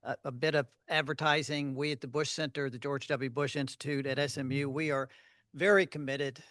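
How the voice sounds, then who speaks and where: A soft-spoken man giving a measured, formal introduction at a luncheon podium, with frequent fillers. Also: mid-range voice, flat delivery, dry recording, studio quality. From Fort Worth, Texas. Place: luncheon hall.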